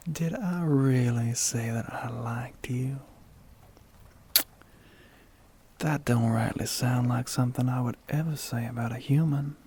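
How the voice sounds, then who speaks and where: A man voicing a flirty, sly, gentlemanly demon with a Southern US accent in an ASMR roleplay, half-whispered, breathy and husky, with a teasing drawl. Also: medium pitch, slight background noise, lightly acted. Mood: tender, teasing. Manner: slow, teasing drawl with pet names.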